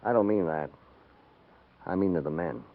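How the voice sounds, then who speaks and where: An actor playing a proud, defiant cavalry deserter, husky and earnestly pleading, in 1950s radio western style. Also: male voice, sits low, moderate pace, phone-quality sound, natural voice. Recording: band-limited 1950s radio recording.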